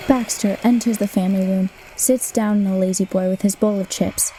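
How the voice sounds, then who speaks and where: A woman's voice: medium pitch, fairly even intonation, brisk, noisy background, close to an everyday voice. A young woman playing a matter-of-fact narrator who describes the action in a comic radio play. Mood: deadpan. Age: young adult. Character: deadpan narrator describing players' moves in sports parody.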